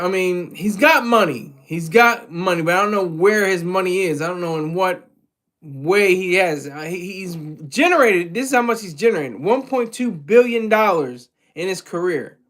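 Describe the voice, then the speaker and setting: Streamer, male, high-pitched, rambly casual chat with viewers, lots of restarts. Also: expressive, unhurried, a bit bright. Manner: loose, rambling, with frequent restarts and chuckles. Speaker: livestream host reading chat.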